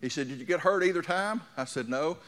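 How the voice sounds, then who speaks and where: A man's voice: fairly high pitch, expressive, a little fast, fairly bright tone. A man in his sixties preaching a sermon in a folksy way, telling anecdotes and putting questions to his listeners. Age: 60s. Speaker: pastor preaching. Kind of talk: sermon to a congregation.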